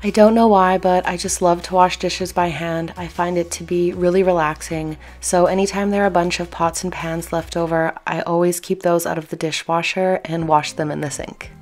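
Low, even-toned woman narrating. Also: moderate pace, hardly any pauses, soft background music. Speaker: home cleaning vlogger. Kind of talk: relaxed voice-over narration.

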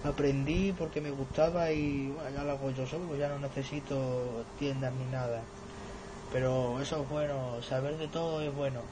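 A man's voice: mid-range voice, steady tone, normal speed. A teenage male, a novice radio operator, chatting casually on a radio contact. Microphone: camera filming the radio set in room. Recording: radio static and noise behind voice.

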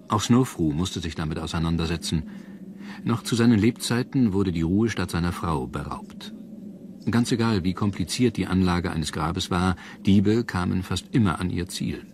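A man reading scripted documentary narration in a measured, formal manner. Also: sits low, a little mellow, slight background noise. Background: background music.

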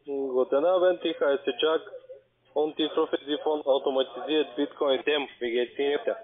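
A man's voice: a little high, steady tone, normal speed. A man who is a non-native speaker making a scripted sales call as a telephone agent. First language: not German. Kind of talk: scripted phone sales pitch. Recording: tinny narrow-band phone line.

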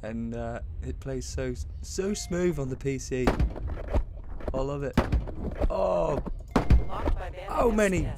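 Young British man streaming a game, slightly croaky, unhurried delivery with sudden loud outbursts, noticeable background noise. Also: mid-range voice. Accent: British English. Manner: excitable, slangy, jumps between mutters and loud exclamations. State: slightly croaky after a gig. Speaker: gaming YouTuber. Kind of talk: casual gameplay commentary.

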